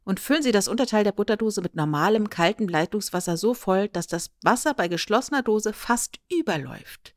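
A woman's voice: medium pitch, animated, brisk, hardly any pauses, no room sound, studio quality. A woman chatting casually as a podcast co-host.

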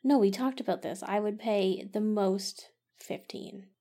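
A woman co-hosting a movie podcast, trading offhand, dry remarks. Her voice is noticeably gravelly with heavy vocal fry, and her tone is dark and mellow. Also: mid-range voice, unhurried. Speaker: podcast co-host. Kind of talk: casual podcast banter.

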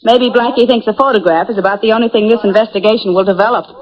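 An actress playing a capable, brisk female companion to a detective in a 1940s radio drama with lo-fi sound. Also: a little high, loud music behind the voice, lightly acted. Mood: brisk, businesslike. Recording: dull lo-fi 1940s radio recording.

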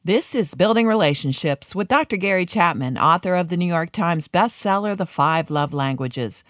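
Radio announcer, scripted read, medium pitch, dry close recording. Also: lively intonation, normal speed, a bit bright, dry recording.

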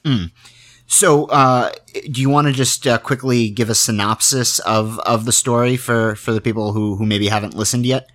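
A man hosting a casual podcast interview, speaking in a halting, hesitant way as he introduces things and asks questions. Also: medium pitch, fairly even intonation, fairly bright tone.

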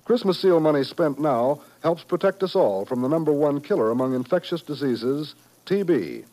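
A male radio announcer with a fairly high voice delivers a scripted appeal. He is earnest and persuasive, in the measured style of a 1950s broadcast. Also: animated, dark tone, poor audio.

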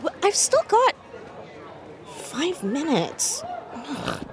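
A high-voiced, earnest teenage girl who argues her case with emphasis. Her pitch swings freely, and there is a faint noise behind her. It sounds like naturalistic audio drama. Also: huge pitch swings, extremely slow, a crowd in the background, full character voice. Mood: indignant. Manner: emphatic, argumentative. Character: fourteen-year-old girl, nosy, earnest, stubborn small-town student.

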